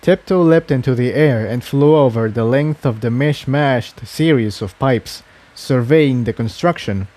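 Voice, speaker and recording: A man's voice: medium pitch, unhurried, darkish tone. A man reading a scripted adventure story aloud as narrator. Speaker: fan fiction narrator.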